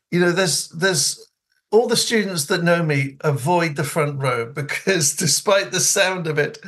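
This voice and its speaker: A man's voice: fairly high pitch, a bit bright. An older man from New Zealand with an elocution-trained English accent, hosting a radio talk show and reading listener letters, chatty and often laughing at himself. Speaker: radio host.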